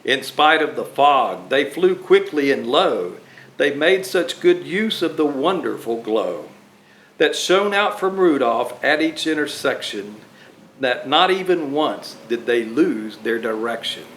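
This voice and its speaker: Slow, animated male storyteller in a roomy space. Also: medium pitch. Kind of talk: expressive read-aloud of a rhyming children's Christmas story. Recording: roomy echo, faint background noise.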